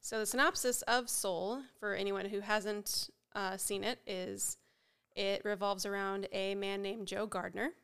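A woman co-hosting a casual podcast chat with a head cold, sounding nasal and froggy, chatty and quick to laugh, with many fillers. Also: medium pitch, steady tone, fairly bright tone, clean tone. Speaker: podcast co-host.

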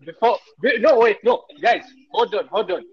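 A man's voice: high voice, normal speed, husky, muffled recording. A man co-hosting a podcast among friends, cutting in to steer the talk and move topics on. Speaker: podcast co-host. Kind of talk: casual chat among friends.

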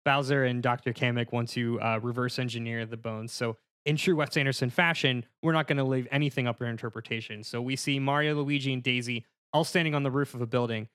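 A man pitches a comedy film as a panelist, walking through it scene by scene. His delivery is halting and mock-formal, with a slightly low voice recorded very dry. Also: steady tone, a bit bright, no echo. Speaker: podcast panelist pitching a movie. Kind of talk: casual comedic story pitch.

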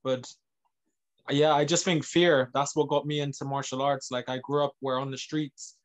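A man's voice: mid-range voice, level tone, moderate pace. A man in his thirties who grew up in England and Northern Ireland, speaking as a guest in a casual interview. He talks loosely, with plenty of "like" and "you know.". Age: 30s. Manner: loose, filler-heavy, lots of "like" and "you know". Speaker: guest, MMA fighter.

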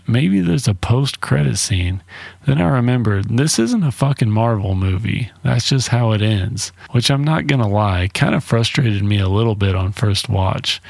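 Low-pitched man, casual podcast host. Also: gravelly, darkish tone, no echo. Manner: blunt, informal, swearing. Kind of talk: casual solo movie review.

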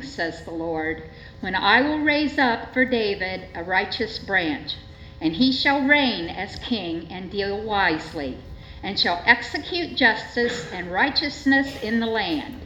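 A woman gives a formal scripture reading in a measured, solemn manner at a church service. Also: fairly high pitch, pushed and bright. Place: indoors in a church. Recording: echoey church, dull sound, faint noise.

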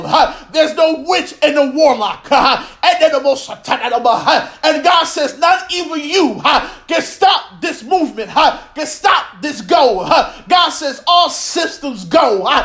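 A man preaching prophecy in a fervent charismatic style, shouting short repetitive chanted phrases punctuated by grunted breaths. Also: very high voice. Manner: exclamatory, often shouting, repetitive.

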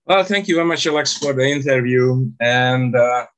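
An older man from Hungary with a Hungarian accent, telling stories casually as an interview guest in halting, non-native English phrasing. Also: mid-range voice, a bit bright. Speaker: interview guest, stage hypnotist. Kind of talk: casual storytelling about own career.

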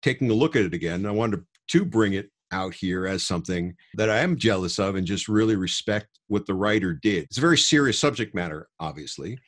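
A man's voice: lowish voice, expressive, a little fast, hardly any pauses. A man co-hosting a podcast, talking about books in a thoughtful, explanatory, conversational way. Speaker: podcast co-host. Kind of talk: conversational podcast book talk.

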